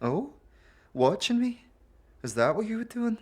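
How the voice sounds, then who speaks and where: A woman playing a prickly young tiefling thief, swooping pitch. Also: sing-song, husky, full character voice. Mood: wary, teasing. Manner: sharp-tongued, sarcastic, defensive, rapid questioning. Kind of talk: audio drama game-dialogue acting.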